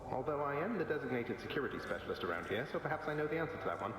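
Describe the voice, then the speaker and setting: An actor playing a wry, cocky young underworld prince in a video game, casual and sardonic. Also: male voice, medium pitch, very animated, rapid-fire, natural voice. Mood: wry curiosity. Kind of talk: video game dialogue acting. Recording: heavily echoing dreamlike reverb.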